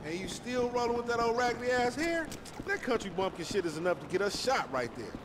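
Cocky young street hustler, American accent, slangy, boastful, animated intonation, high voice, game cutscene. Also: male voice, a little fast, music in the background. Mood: combative. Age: young adult. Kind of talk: video game cutscene acting.

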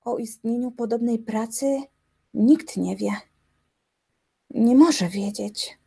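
An actress playing an obsessive, indignant young reclusive philosopher in a radio drama, brooding aloud with dramatic deliberation. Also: female voice, a little high, slow pace, gravelly, warm tone, breathy edge, exaggerated voice. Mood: incredulous, insistent. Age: young adult. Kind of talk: theatrical radio drama monologue. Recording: music behind the voice.

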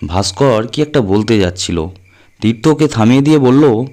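A man's voice: medium pitch, expressive, moderate pace, dark tone. A man reading a horror audio story as a narrator, in a dramatic scripted style. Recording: music under the voice. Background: background music.

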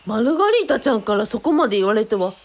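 A woman playing an excitable, vain male cult leader with theatrical, fawning politeness in a radio drama. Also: a little high, fast, noisy background. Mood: jovial, flattered.

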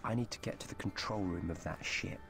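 A British actor playing a clever, eccentric time traveller in a video game, speaking briskly and gravely as he explains. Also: sits low, noisy background. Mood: urgent, determined. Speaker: game character. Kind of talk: scripted video game dialogue. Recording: game audio under loud music.

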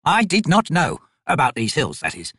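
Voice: mid-range voice, huge pitch swings, fairly bright tone, husky, no room sound, studio quality, voice pushed up, heavily acted. A narrator playing a meek, nervous old male trader in an audiobook, hesitant and fussily formal. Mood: meek, earnest. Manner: slow, hesitant, formal and fussy.

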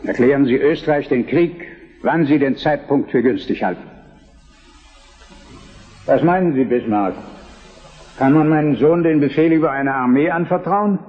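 A man's voice: on the high side, normal speed, pauses often, muffled recording, natural voice. An actor playing an elderly, solemn and anxious Prussian king in a historical radio drama, grave and formal.

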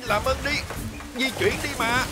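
An actor voicing a shy, earnest little theatre truck who dreams of performing, polite and expressive, in a cartoon dub. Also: mid-range voice, moderate pace, loud music behind the voice, lightly acted. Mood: pleading, grateful.

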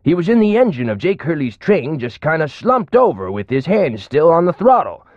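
A man's voice: fairly high pitch, big pitch swings, moderate pace, dry recording, highs cut off. An actor playing a folksy, chatty old man in his nineties with an American accent, rambling through stories in a video game. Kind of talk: video game character acting.

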